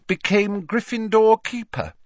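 A man's voice: sits high, animated, measured pace, a little mellow, dry recording, clean studio sound, pitched above the performer's own voice. A man voicing a prim, sweetly smug teenage schoolgirl witch with pointed emphasis, in a storybook reading.

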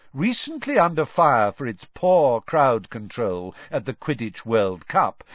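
A smug, sneering schoolboy bully, as a male reader puts him on, pitched a little high and crowing loudly in a mocking voice. His delivery swings up and down with relish. The sound is dry and a touch dull. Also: dry recording, full character voice. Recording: dry, slightly dull studio recording.

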